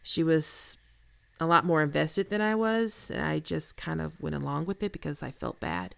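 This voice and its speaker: Woman acting a very creaky, teasing flirt. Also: low voice, lively intonation, a bit bright, no echo, heavily acted. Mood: reluctant, reflective. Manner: casual, teasing, unhurried. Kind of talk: intimate ASMR roleplay acting.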